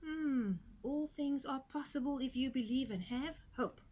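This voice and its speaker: A woman voicing an eager young male piglet in a storybook, cheerful and hopeful, breathless with excitement. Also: high voice, a little slow, voice pushed up.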